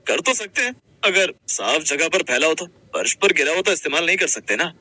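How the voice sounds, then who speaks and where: A man's voice: a little high, very animated, brisk, sharp tone, dry recording, heavily acted. A man playing a friendly young restaurant manager in a Hindi cartoon story, explaining things in a casual, businesslike way.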